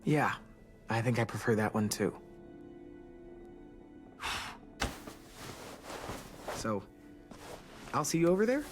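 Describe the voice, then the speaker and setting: A man's voice: medium pitch, very animated, takes it slowly, pauses often. An American actor playing a charming, self-deprecating teenage boy in a video game, with a wry, hesitant, conversational delivery. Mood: playful, charming. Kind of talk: naturalistic video game voice acting. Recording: music behind the voice.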